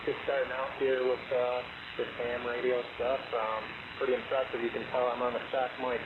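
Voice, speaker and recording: Male novice ham operator, flat intonation, phone-thin radio signal, casual short replies. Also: medium pitch, fairly quick. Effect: received over shortwave radio. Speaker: beginner amateur radio operator. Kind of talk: brief casual radio chat. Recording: narrow-band radio signal, clear static.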